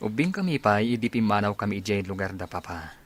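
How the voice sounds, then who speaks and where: Man acting a low-voiced, mild young soldier. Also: lively intonation, fast talker. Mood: wistful. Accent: Ilocano. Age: 20s. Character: young soldier of twenty-nine, mild, homesick comrade. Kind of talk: Ilocano radio drama acting.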